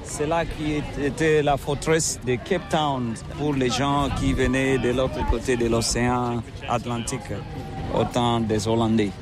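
A man from Congo, a tour guide answering questions in halting, filler-heavy speech on a windy waterfront. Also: medium pitch, flat delivery, over music. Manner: hesitant, many fillers, repeats "oui, oui". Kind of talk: casual outdoor interview. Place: windy city waterfront outdoors. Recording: outdoor street noise.

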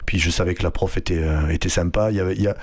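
A young man, raised speaking Flemish at home, talks through an interview in a low voice. He stops often with fillers and restarts his sentences, searching for words as he goes. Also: steady tone, racing. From France (Hérault, Occitanie). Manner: hesitant, many fillers and restarts. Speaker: artistic director, guest. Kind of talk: radio interview.

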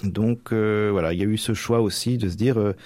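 A man's voice: fairly low pitch, steady tone, fairly quick, darkish tone. A young man training for the priesthood, answering radio interview questions in a hesitant way with many fillers and restarts. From Manche, Normandy.

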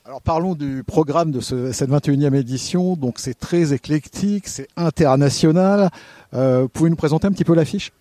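A man's voice: on the high side, fairly quick, dark tone, no room sound. A man hosting a radio interview, asking questions in a light, friendly way. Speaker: radio host.

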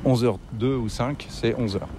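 A man's voice: medium pitch, normal speed, dark tone. A man with an accent from France, chatting casually and telling anecdotes outdoors in the city. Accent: French from France. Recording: faint outdoor city noise. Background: faint street ambience, vehicles.

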